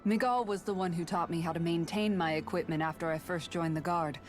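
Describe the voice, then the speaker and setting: A woman voicing an earnest, idealistic young guardswoman in a video game, speaking sincerely and gently. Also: on the low side, fairly even intonation, fairly quick, lightly acted. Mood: fond, earnest. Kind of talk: scripted video game dialogue. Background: game music.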